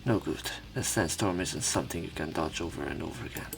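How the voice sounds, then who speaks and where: A man's voice: a bass voice, fast talker, vocal fry. A man doing a let's play, reading a visual novel aloud as dramatic narration mixed with casual commentary. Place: voice-over on game footage. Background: faint game music.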